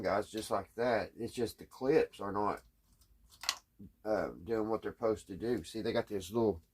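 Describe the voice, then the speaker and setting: A man chatting casually in a halting, puzzled, folksy way, thinking out loud as he tinkers. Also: on the low side, slight background noise. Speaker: host of a radio repair hobby channel. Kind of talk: casual hands-on repair chat, thinking out loud.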